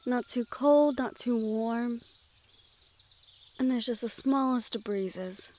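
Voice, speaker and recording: Gentle, formal young fantasy noblewoman played by a woman. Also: on the high side. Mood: serene, thoughtful. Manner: polite, formal, measured phrasing. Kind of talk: scripted audio roleplay addressed to the listener.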